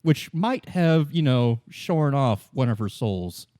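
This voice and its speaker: Guy game mastering, normal pitch, chatty and a bit halting, close dry recording. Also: expressive, mellow, no echo. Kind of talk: casual improvised tabletop game narration.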